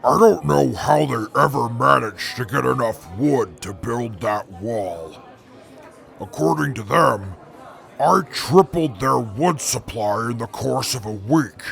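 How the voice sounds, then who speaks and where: A pompous super mutant brute rumbles through his boasts with a heavily gravelly, fry-laden voice, as a man acts him out. He speaks slowly and grandly, like a self-important giant in a radio play. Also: animated, a bit bright, exaggerated voice. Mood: boastful. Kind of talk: fan audio drama.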